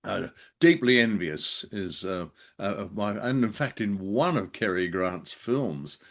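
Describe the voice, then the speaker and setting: An older man chatting casually as a show co-host, jokey and anecdotal, with halting hesitations. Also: lowish voice, animated, fairly bright tone. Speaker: co-host of a radio drama show. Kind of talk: casual bantering chat.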